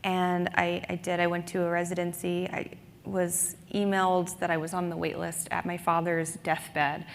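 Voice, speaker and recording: A woman speaking on a writers' panel, reflective and halting, with many fillers. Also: lowish voice, monotone, vocal fry, in a large hall. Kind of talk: panel discussion. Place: conference stage.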